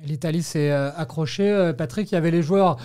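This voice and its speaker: A man's voice: a little high, fairly quick, warm tone, clean tone. A man hosting a sports talk discussion on the radio. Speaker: radio host.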